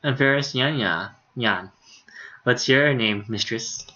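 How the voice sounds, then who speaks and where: A man's voice: mid-range voice, slow pace, bright, forceful tone. A man streaming a game, reading dialogue aloud with casual, amused asides. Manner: informal, amused. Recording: slightly dull streaming audio.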